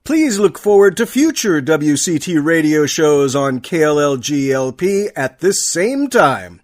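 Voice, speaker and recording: A man's voice: on the high side, big pitch swings, measured pace. A man reading a scripted radio announcement as the show's closing announcer. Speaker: director and credits announcer.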